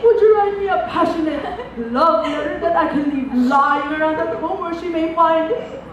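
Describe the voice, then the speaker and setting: A woman acting a worldly, self-assured stage actress in a play, speaking grandly and teasingly with dramatic pauses. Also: very high voice. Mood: playful, teasing. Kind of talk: theatrical one-act stage play acting. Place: large auditorium stage, distant microphone. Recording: echoey noisy auditorium from far off.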